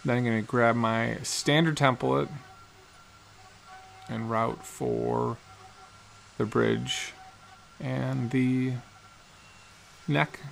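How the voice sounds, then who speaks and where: A man in his forties, a guitar builder, calmly explaining each step of his work in a matter-of-fact how-to narration in his workshop. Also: medium pitch, drawn-out words, vocal fry, pauses often. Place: cluttered workshop indoors.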